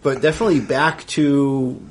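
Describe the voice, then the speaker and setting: A man's voice: medium pitch, fairly even intonation, normal speed, a little hiss or hum. A man from Central New York hosting a podcast interview, friendly and chatty, often repeating words as he starts a sentence. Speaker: podcast host. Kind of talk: casual interview chat.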